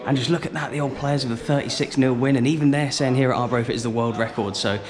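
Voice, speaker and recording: A man's voice: mid-range voice, fairly even intonation, quick pace, a crowd in the background. A man presenting a casual football vlog, chatting to camera in an enthusiastic way. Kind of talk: casual travel vlog chat. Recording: noisy outdoor recording with wind or traffic.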